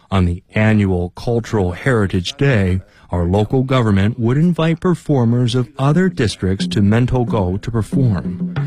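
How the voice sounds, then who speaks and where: A man with a fairly low voice reads a translated voice-over. His delivery is plain and measured. It sounds like a studio dub over an interview. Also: a little mellow. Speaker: drum troupe member, dubbed English voice-over.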